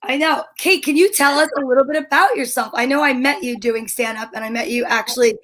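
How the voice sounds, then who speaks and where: A woman's voice: high-pitched, quick pace, fairly bright tone. A woman hosting a casual live-streamed show in a chatty, enthusiastic way. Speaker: show host.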